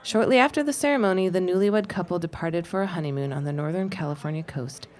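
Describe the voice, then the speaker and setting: An actress as a society columnist narrating for an audio drama. She reads in a fairly low voice, formal and announcing, as if from a printed notice. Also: fast talker, darkish tone, faint music behind, lightly acted. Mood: formal, poised.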